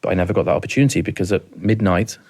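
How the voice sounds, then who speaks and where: A Welsh man in his thirties with a very deep voice that barely moves in pitch. He talks softly and haltingly, often repeating a word before going on. He is a guest on a talk podcast telling a hard story from his own life. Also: steady tone. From rural mid Wales. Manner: halting, repeats words, soft-spoken. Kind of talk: emotional personal storytelling.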